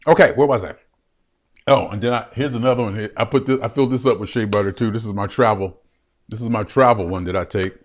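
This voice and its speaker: Casting: man, late 50s, solo home vlogger, rambling and animated, repeats himself a lot. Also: on the low side, quick pace. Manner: chatty and animated, laughing often, repeating phrases. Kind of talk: rambling solo vlog to a webcam. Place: his room at home. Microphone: webcam.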